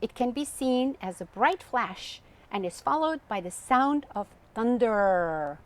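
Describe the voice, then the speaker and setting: Woman voicing a slow, patient storybook uncle. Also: on the high side, lively intonation. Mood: patient, instructive. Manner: patient, explanatory, deliberate. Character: kindly, knowledgeable uncle, a storybook meteorologist.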